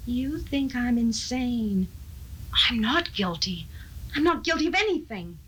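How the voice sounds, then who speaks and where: A woman's voice: fairly high pitch, lively intonation, measured pace, a bit bright, slightly rough, exaggerated voice, low-quality recording. An actress playing a brittle, defensive woman accused of a crime, in a 1970s radio drama. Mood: tense, weary. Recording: 1970s radio recording, music behind.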